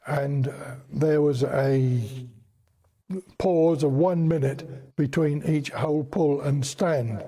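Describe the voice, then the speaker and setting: A man's voice: fairly high pitch, slow pace, dark tone. An elderly man with an English accent, recalling and explaining things as an interview guest in a courteous, formally worded, hesitant way. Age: 70s or older. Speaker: interview guest, bell ringer. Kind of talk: unscripted recollection and explanation in a broadcast interview.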